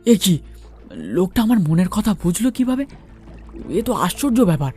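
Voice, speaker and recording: A man's voice: sits high, sing-song, normal speed, a little mellow, breathy edge, faint music behind. A man narrating a first-person horror story and acting out its dialogue.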